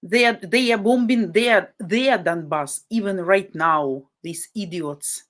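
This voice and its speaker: A woman's voice: mid-range voice, slow pace, a bit bright. A woman from Ukraine, a native Russian speaker with a Ukrainian-Russian accent, hosting a live stream and talking bluntly and indignantly, often repeating herself for emphasis. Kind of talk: casual live stream chat.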